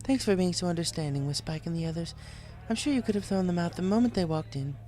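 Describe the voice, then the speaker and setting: Man as female storybook pony, raised pitch, refined, poised, polite, gently teasing. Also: animated, quick pace. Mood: warm, fond. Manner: ladylike, formal, polite.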